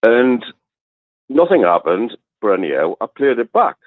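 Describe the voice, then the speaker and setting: A man with a deep voice, a caller telling a rambling story on a phone-in show and laughing as he goes. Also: big pitch swings, normal speed, no room sound, phone-quality sound. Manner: chatty, rambling, laughs through his stories.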